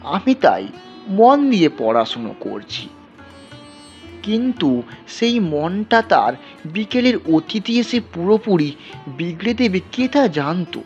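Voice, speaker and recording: Male storyteller, dramatic scripted narration, lively swings in pitch, music underneath. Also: slow, long pauses. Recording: dull, lo-fi sound.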